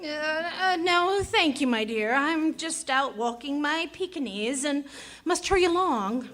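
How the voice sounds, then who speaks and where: A woman's voice: high-pitched, animated, measured pace, a bit bright. A woman playing a genteel, haughty society lady who is condescendingly polite, in 1940s radio comedy style.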